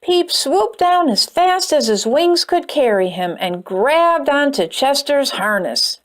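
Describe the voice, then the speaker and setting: Woman storyteller reading to kids, very expressive, sing-song, unhurried. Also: high voice. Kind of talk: children's read-aloud.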